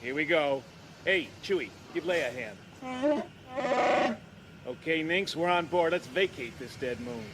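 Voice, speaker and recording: A man's voice: fairly high pitch, lively intonation, measured pace, pauses often, very noisy. A man playing a cocky, wisecracking space smuggler in an audio drama, wry and brash. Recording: loud engine and action effects behind.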